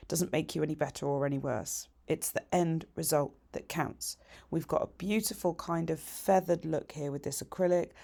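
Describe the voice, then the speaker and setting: A middle-aged woman with a croaky voice, chatting her way through a nail tutorial voiceover with practical, cheerful asides. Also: low-pitched, a little mellow. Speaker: nail technician teaching a tutorial.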